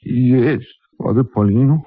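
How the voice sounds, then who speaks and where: Anxious, husky Mexican villager. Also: male voice, mid-range voice, a little slow. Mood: humble. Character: anxious, humble Mexican villager, halting and devout. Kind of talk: 1950s radio drama acting. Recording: tinny old radio broadcast, dry studio.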